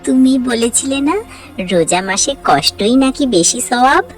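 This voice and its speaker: A woman's voice: a little high, animated, measured pace, distant mic, soft background music. A woman playing a curious, earnest young sister in a devout family cartoon story. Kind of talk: scripted cartoon story dialogue.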